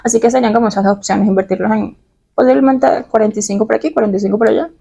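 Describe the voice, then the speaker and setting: A woman's voice: a little high, rapid-fire, gravelly, warm tone, breathy edge. A woman from Venezuela with a Caracas accent, chatting casually and confidingly about herself in a personal vlog, stretching words for emphasis. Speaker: vlogger answering personal questions.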